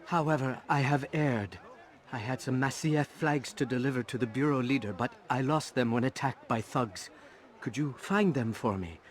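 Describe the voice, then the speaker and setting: An actor playing an eager young apprentice informant in a video game, earnest, formal and flattering. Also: male voice, medium pitch, normal speed, lightly acted. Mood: eager, sheepish.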